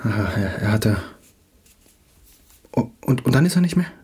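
An actor playing an insecure, agitated young playwright in a radio play, halting and stammering as he repeats himself. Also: on the low side, very animated, darkish tone, slightly rough, heavily acted. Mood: awkward, shaken. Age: young adult.

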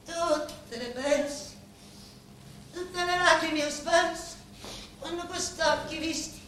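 A woman acting a grieving, vengeful daughter in a theatrical monologue, with a Sicilian accent and halting, incantatory delivery full of repeated words. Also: very high voice, a bit bright, heavily acted. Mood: anguished, grieving. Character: grieving, vengeful daughter of murdered parents, confiding in a boss. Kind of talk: theatrical dramatic monologue in dialect-laced Italian. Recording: echoey, distant, faintly noisy stage recording.

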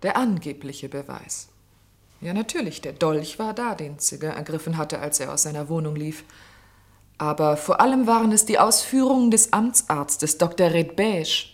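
A woman's voice: sits low, moderate pace, natural voice. A woman acting an earnest, persistent character in a German radio play, speaking with insistence. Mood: earnest, sceptical. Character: earnest, persistent woman pleading against an unjust conviction.

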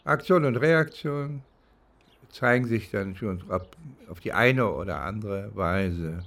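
An older man from East Germany recounting his legal experience reflectively, halting and pausing between phrases. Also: on the low side, animated, fairly bright tone. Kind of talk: reflective spoken recollection, interview-like.

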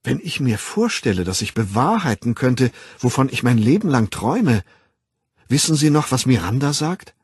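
A man's voice: medium pitch, sing-song, slow pace, slightly rough, no room sound, pitched above the performer's own voice, exaggerated voice. A man voicing a naive, dreamy young outsider in an audiobook, declaiming rapturously in old-fashioned words. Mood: rapturous awe.

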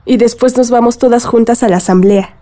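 Mellow, urging Mexican village woman, played by an actress. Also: fairly high pitch, fairly quick, natural voice. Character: encouraging village woman rallying her godmother. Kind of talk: radio drama acting.

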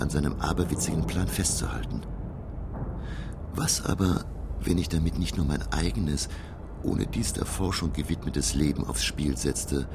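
Very deep, gravelly male professor-narrator. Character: learned, curious professor and explorer, narrating his voyage. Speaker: narrator and character. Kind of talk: German radio drama acting and narration. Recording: clearly noisy radio drama recording.

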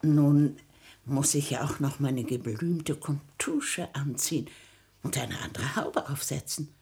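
An actress playing a bustling, self-important older small-town grandmother with a husky voice and fussy, ceremonious manner in a comic radio play. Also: extremely low pitch, takes it slowly, darkish tone, heavily acted. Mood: flustered, fussy. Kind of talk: theatrical German radio comedy acting.

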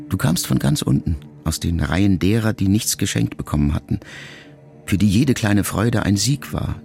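An actor playing a pensive, melancholy grown son in a radio drama monologue, speaking to his mother in a gravelly, measured, intimate way. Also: deep voice, a little mellow. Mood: quiet reproach. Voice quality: gravelly, creaky.

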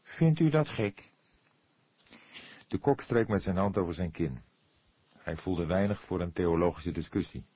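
A man's voice: low-pitched, fairly even intonation, moderate pace, mellow, husky, no echo. Een man die als luisterboekverteller een literaire tekst voorleest. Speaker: audiobook narrator. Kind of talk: scripted literary narration.

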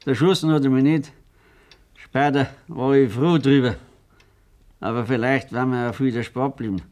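An actor playing a resigned old retired farmer in a German radio play, rueful and plain-spoken, with a Bavarian accent. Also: male voice, mid-range voice, fairly even intonation, moderate pace, darkish tone, lightly acted. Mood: rueful, reminiscing.

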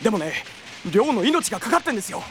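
An actor playing a hot-headed, loyal young police detective in a Japanese audio drama, brash and rough-spoken. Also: male voice, high-pitched, big pitch swings, fast, faint music behind. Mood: indignant pleading.

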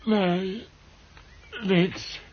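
A man's voice: high-pitched, steady tone, extremely slow. A man playing a confused, blustering husband who stammers and repeats himself in a radio play. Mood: queasy, alarmed. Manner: stammering, blustering, broken repetitions. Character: flustered, confused husband insisting he is perfectly fine. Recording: muffled, noisy old radio play recording.

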